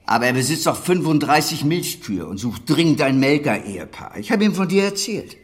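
An actor playing a gruff, hearty older dairy master in a radio play, talking in an earthy, colloquial, fatherly way to his former apprentice. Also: a little high, sing-song, brisk. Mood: matter-of-fact, wry. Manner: earthy, colloquial, wry, chuckling asides. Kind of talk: naturalistic German radio drama acting.

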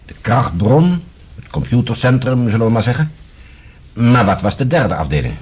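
A man acting a formal, authoritative general in a science-fiction radio drama, with a husky voice and a deliberate, questioning manner. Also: mid-range voice, very animated, a little mellow. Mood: probing, measured. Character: authoritative male general, probing and measured questioner.

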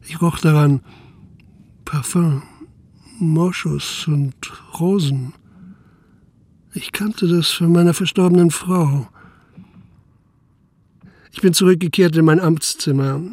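Crime radio drama, terse middle-aged investigator, husky, measured, very dry studio sound. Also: male voice, a little high, darkish tone, no echo. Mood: sober, pensive. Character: terse, sober widowed police investigator. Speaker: police investigator. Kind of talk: radio drama acting, first-person crime narration.

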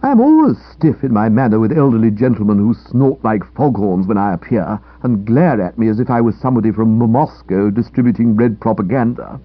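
A man's voice: lowish voice, animated, a little fast, hardly any pauses, no echo. A man voicing a gloomy, self-pitying young upper-class English writer in a comic audiobook, grumbling theatrically. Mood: aggrieved, dramatic. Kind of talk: comic audiobook character dialogue. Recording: dull lo-fi radio-style recording.

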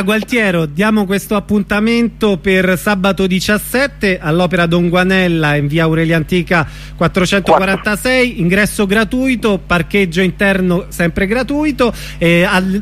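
A man with a distinctly high voice works as a radio interviewer. He puts questions to his guest and gives out practical event details. There is faint noise in the recording. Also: moderate pace, few pauses, fairly bright tone.

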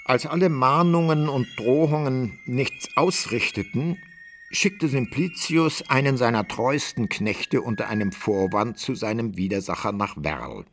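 A man's voice: mid-range voice, expressive, measured pace, a little hiss or hum. A man reading literary third-person narration as the narrator of a radio play.

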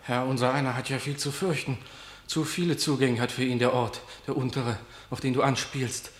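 An actor playing a sly, servile guard who declaims in halting phrases in a verse tragedy radio play. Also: male voice, medium pitch, fairly even intonation, echoey room, close to an everyday voice. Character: wary lowly male guard reporting to his ruler.

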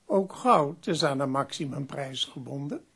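An actor in a radio drama playing a courteous, shrewd publisher, measured and businesslike. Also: male voice, mid-range voice, creaky, a bit bright, breathy edge, exaggerated voice. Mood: shrewd, firm. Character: courteous, shrewd male publisher visiting sick author. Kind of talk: radio drama narration and dialogue.

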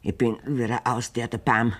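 An actress playing a worn-down but stubborn middle-aged farmer's wife with a Bavarian accent in a German radio play. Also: female voice, extremely low pitch, little pitch movement, moderate pace, breathy edge. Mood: bitter, weary. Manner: stubborn, bitterly sarcastic.

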